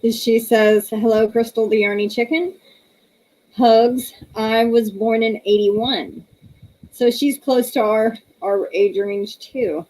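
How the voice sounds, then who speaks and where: Slow-talking woman in her thirties. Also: fairly high pitch, fairly even intonation, warm tone, low-quality recording. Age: 30s. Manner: chatty, laughs often, reads comments aloud. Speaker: livestream host. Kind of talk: casual chat reading viewer comments.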